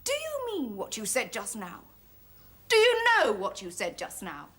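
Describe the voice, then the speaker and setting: Woman acting a theatrical, proud young lady, lively high voice. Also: moderate pace, breathy edge, full character voice. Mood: indignant. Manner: declamatory, noble posturing, emotional swings. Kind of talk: stage comedy acting.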